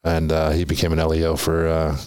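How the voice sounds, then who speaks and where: A man from Hawaii, an army veteran guest, answering interview questions in a soft-spoken, hesitant way with many pauses. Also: rumbling low, monotone, clear, resonant tone, dry recording, clean studio sound.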